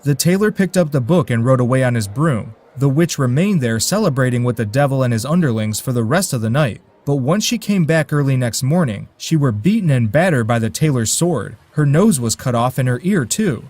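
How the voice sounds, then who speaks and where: A man's voice: medium pitch, lively intonation, fairly quick, few pauses, darkish tone, no echo. A man narrating a folk tale in a dry, wry way, with asides to his listeners. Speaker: storyteller.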